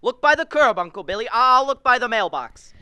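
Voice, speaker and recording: A woman's voice: a little high, lively intonation, fairly quick, sharp tone, clean tone, no echo. A young woman playing a stressed, short-tempered husband and father in a radio drama, snapping in exasperation. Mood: urgent, angry. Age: young adult. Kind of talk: school radio drama acting.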